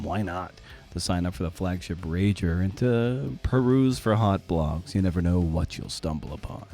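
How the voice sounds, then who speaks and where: A man's voice: sits low, warm tone. A middle-aged man hosting a podcast, rambling casually with wry, self-deprecating, sometimes profane candor. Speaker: podcast host.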